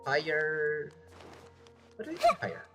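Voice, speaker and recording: A man's voice: a little high, huge pitch swings, slow pace, pushed and bright. A man streaming a game, giving brief, offhand reactions in casual live commentary. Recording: game music behind the voice.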